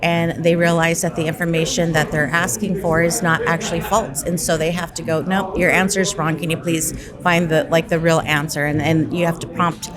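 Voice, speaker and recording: A woman's voice: deep voice, level tone, normal speed, few pauses. A woman giving a casual interview answer, informal and often checking that the listener follows. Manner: informal, seeking agreement with frequent check-in tags. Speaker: interviewed technology executive. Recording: busy crowd noise behind. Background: crowd chatter.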